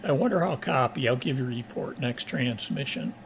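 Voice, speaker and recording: A man's voice: mid-range voice, lively intonation, a little fast, creaky, slight background noise. A man working as an amateur radio operator, chatting through a radio contact in procedural fashion and reading out call signs phonetically. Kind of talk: ham radio contact chat.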